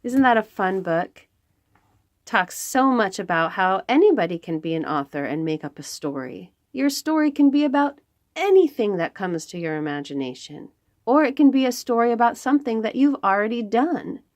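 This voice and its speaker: A woman reading aloud to young children as a storytime host, expressive, with dramatic emphasis and pauses. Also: medium pitch.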